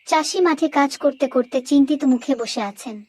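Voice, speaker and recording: A woman narrating a moral story for children in a clear, didactic storytelling manner. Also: high voice, brisk, warm tone, dry recording.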